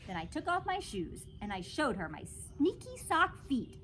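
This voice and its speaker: Animated woman reading a storybook, noisy recording. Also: high-pitched, normal speed. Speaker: storytime reader. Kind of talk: animated children's book read-aloud.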